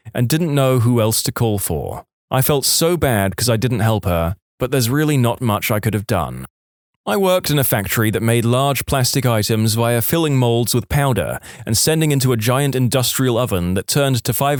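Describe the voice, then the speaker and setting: A man's voice: medium pitch, no room sound, clean studio sound. A man reading scripted online stories as a narrator, acting out the quoted speech.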